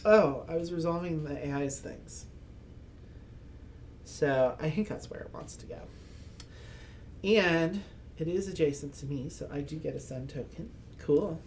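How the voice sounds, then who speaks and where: A man's voice: fairly high pitch, measured pace, long pauses, a little hiss or hum. A man hosting a board game channel, thinking aloud through a solo game with chuckles and self-mocking asides. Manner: speaks as a loud, projecting voice. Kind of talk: casual solo playthrough commentary.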